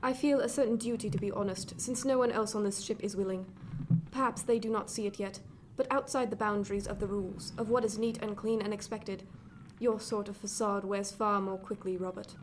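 A woman playing a middle-aged, cold and imperious 18th-century gentlewoman in a radio drama, speaking formally and bitingly. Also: mid-range voice, steady tone, fairly quick, lightly acted. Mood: cold, accusing. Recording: clear background noise, ship ambience.

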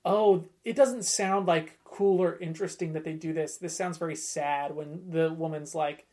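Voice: mid-range voice, steady tone, normal speed, a little mellow. A podcast panelist speaks in an informal, conversational way. The talk is casual discussion, as among people reviewing trailers together.

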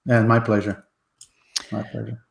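A middle-aged man with an Israeli accent, a guest telling his story in a relaxed way with many ums and uhs. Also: on the low side, unhurried, vocal fry, reverberant. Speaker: guest, company president. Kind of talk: casual interview.

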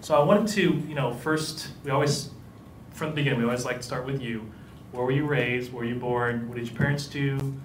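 A man's voice: medium pitch, fairly even intonation, fairly quick. A man asks his questions in a loose, meandering way, stumbling over restarts and ums. He sounds distant from the mic, with the room's echo around him. A faint ambient hum sits underneath. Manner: rambling, hesitant, laughing often. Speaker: interviewer, event director. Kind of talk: casual interview questions. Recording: echoey room picked up from a distance.

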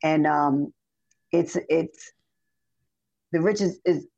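Chatty hoarse woman singer. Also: deep voice, extremely slow. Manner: chatty, animated storytelling with restarts and fillers. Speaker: singer guest. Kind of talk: casual interview chat. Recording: dull lo-fi audio.

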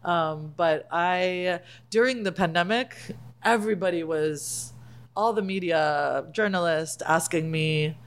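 A woman chef, Palestinian, talking casually on a podcast, animated and laughing often. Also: fairly low pitch, takes it slowly, clean tone. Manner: animated, laughs often, acts out quoted lines. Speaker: guest, chef and cookbook author.

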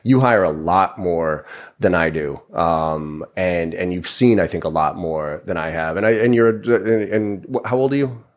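A man's voice: deep voice, unhurried. A man in his forties hosting a casual interview, rambling and swearing freely with self-deprecating banter. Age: 40s. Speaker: podcast host.

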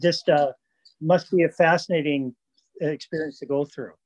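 A man's voice: a little high, fairly even intonation, moderate pace, rough recording. A man from Canada, hosting an interview and framing his questions conversationally with context first. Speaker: interviewer, host. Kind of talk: business interview.

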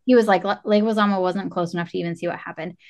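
A woman's voice: fairly low pitch, rapid-fire. A woman co-hosting a podcast in casual chat, retelling things animatedly by acting out what people said. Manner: animated retelling with quoted dialogue. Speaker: podcast co-host.

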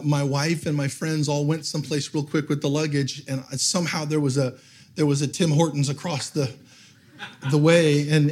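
A man's voice: fairly high pitch, darkish tone. A middle-aged man, a guest pastor who is losing his voice, preaching a sermon to a live audience in a church, telling funny stories and laughing often. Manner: humorous storytelling, laughing often.

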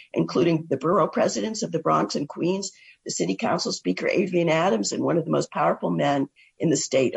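A woman hosting a radio talk show and reading an education news recap, hoarse from a cold. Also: lowish voice. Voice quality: gravelly and husky.

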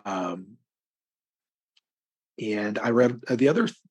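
A man's voice: lowish voice, slow, a little mellow, breathy edge. A middle-aged American man talking casually as an interview guest, thoughtful and rambling, often hesitating as he works out his answer. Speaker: interview guest, crime fiction author.

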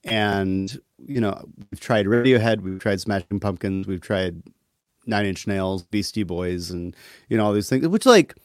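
A man talking casually as a podcast guest, thoughtful and halting, with a wry streak. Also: on the low side, no room sound, clean studio sound. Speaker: interview guest, musician.

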